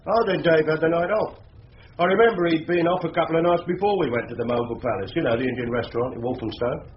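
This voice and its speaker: An actor as shifty, highish witness. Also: male voice, quick pace, lightly acted. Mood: evasive. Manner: slippery, flustered. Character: evasive, shifty working-class businessman in witness box. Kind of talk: radio courtroom drama acting. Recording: muffled, faintly noisy radio recording.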